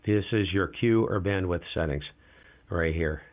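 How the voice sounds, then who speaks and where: A man with a very low voice running a casual live ham radio demo, talking to himself as he adjusts settings. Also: unhurried, highs cut off. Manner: relaxed, talks to himself while adjusting gear. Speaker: ham radio livestream host.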